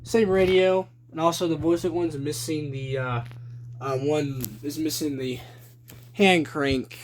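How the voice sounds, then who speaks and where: A young adult man giving a casual show-and-tell narration on a phone at home, listing items deliberately in short phrases. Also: on the high side, steady tone. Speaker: hobby collector presenting a video. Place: small room at home. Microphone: handheld phone behind the camera. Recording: noisy handheld home video with clicking.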